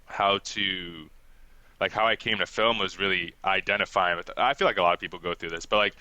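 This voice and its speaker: A young man who grew up in Ghana, talking casually as a podcast guest, relaxed and chatty and quick to laugh. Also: deep voice, no echo. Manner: relaxed and chatty, laughs often, many "like" fillers. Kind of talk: casual interview talk.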